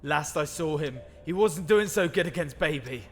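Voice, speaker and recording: A man voicing a wounded, earnest young anime fighter with a husky voice, in a fan-made roleplay. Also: on the high side, animated, moderate pace, in a large hall, faint music behind. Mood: desperate.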